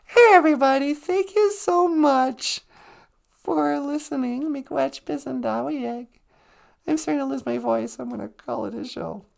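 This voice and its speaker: A man putting on the voice of a chirpy woman co-host of a language podcast, giggly and teasing, her voice going hoarse. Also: very high-pitched, a little slow, full character voice. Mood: signing off. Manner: giggly, teasing, sing-song. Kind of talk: comic puppet-style skit.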